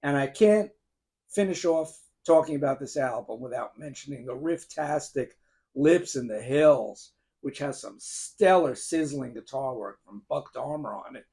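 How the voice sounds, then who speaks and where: Gruff-voiced man ranking albums. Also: mid-range voice, lively intonation, a little slow, warm tone. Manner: enthusiastic fan, listing songs and credits. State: gruff, strained voice. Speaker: music video host ranking albums. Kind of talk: casual talk to camera.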